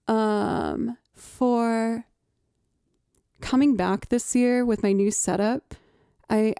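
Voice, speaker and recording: Chatty alto woman, mellow reverb-washed voice. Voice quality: low alto voice. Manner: chatty and upbeat, thanking viewers. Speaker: musician streaming live. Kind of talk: casual live-stream chat between songs.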